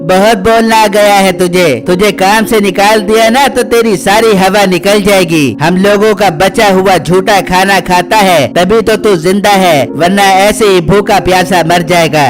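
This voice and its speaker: A man's voice: sits high, fairly even intonation, unhurried, hardly any pauses. A man voicing an arrogant, bullying rich villain in a cartoon, scornful and bossy. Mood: angry, threatening. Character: arrogant bullying landlord's son and his haughty father, rich villains. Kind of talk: exaggerated cartoon dialogue. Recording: music under the voice.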